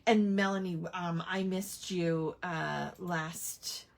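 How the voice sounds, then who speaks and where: A woman's voice: fairly low pitch, steady tone, takes it slowly, creaky. A woman hosting a casual crafting livestream, rambling and chatting to viewers while she works at home. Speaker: craft channel host.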